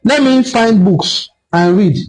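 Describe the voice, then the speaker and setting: Man, Nigerian accent, high voice, forceful lecturing, faint music underneath. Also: slow, rough recording. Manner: forceful, lecturing, repeats for emphasis. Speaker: online cultural commentator. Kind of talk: impassioned solo commentary video.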